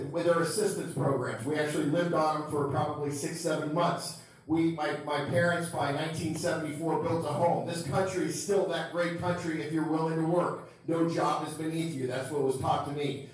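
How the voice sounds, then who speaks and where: A man in his fifties from Cuba talks earnestly and conversationally. His voice sits a little high, and he is picked up from a distance with heavy room echo. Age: 50s. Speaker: political candidate. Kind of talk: campaign introduction speech. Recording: echoey hall, distant mic.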